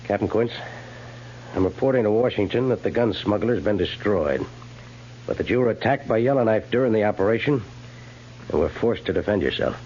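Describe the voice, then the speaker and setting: An actor playing a cautious, bureaucratic army major in a 1950s American radio drama, measured and official with some hesitation. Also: male voice, on the low side, animated, darkish tone, slight background noise, lightly acted. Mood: officious, evasive. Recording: muffled, humming 1950s radio recording.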